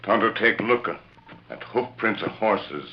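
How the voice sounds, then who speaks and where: A man's voice: lowish voice, animated, normal speed, close to an everyday voice. Man acting a terse, loyal frontier scout, muffled radio. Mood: calm, terse. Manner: clipped broken English, terse. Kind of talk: 1940s radio western acting. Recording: muffled old radio recording with background noise.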